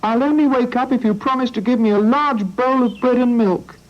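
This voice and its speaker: A man voicing a lazy little male hedgehog with a tiny, drowsy, very high voice, on a lo-fi storybook recording. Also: brisk, faint music behind. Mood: sleepy grumbling. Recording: lo-fi old recording.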